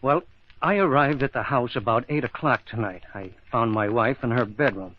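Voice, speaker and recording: An actor playing a cold, smooth-talking middle-aged husband with murderous intent in an old-time radio mystery, speaking in a measured, formal way. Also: male voice, medium pitch, natural voice. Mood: composed, evasive. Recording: dull lo-fi old radio recording.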